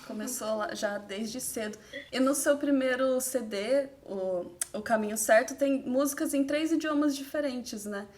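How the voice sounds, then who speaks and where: A woman's voice: fairly high pitch, measured pace, a little mellow. A woman with a Brazilian accent presenting and asking questions as co-host of a casual interview show.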